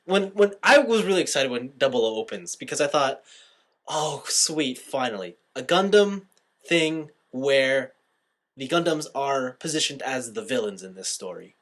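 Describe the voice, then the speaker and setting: A male podcast host chatting casually about anime. His voice swings widely in pitch as he gripes and argues his opinions. He sounds exasperated and swears casually. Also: expressive, moderate pace, fairly bright tone. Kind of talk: casual anime review chat.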